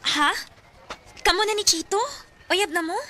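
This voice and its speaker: Nosy blunt bestie, really high bright voice, cebuano filipino accent, radio drama. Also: female voice, normal speed, soft background music. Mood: astonished. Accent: Filipino, Cebuano Visayan. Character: nosy, blunt female friend and adviser.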